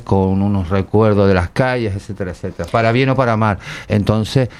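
A man with a fairly low, smooth and resonant voice hosts a relaxed panel conversation. He rambles along, pausing with plenty of fillers as he gathers his thoughts. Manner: rambling, hesitant with many fillers. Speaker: host. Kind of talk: casual panel chat.

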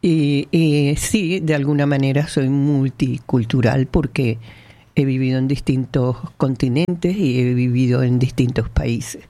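A woman's voice: rumbling low, steady tone, measured pace, mellow, dry recording. A woman from the Canary Islands with a mixed Canarian and Venezuelan accent, telling her life story frankly as an interview guest. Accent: mixed Canarian and Venezuelan Spanish. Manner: emotional, frank, with restarts and laughs.

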